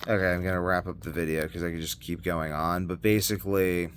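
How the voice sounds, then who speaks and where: A man talking casually as a video blogger in a rambling monologue, halting and often trailing off mid-thought. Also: deep voice, steady tone. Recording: noticeable background noise.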